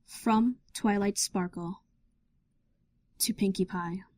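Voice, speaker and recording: A woman narrates a dramatic story. Her pitch is medium and her delivery is very slow and measured. The recording is dry, with no room sound. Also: steady tone, darkish tone, no echo.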